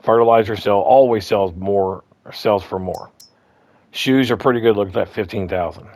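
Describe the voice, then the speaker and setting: Low-voiced man, slightly hoarse, rambling. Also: normal speed, a little mellow, dry recording. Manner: rambling, repeats himself, lots of ums and restarts. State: slightly hoarse, clearing throat. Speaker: gaming guide host. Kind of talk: casual gameplay walkthrough.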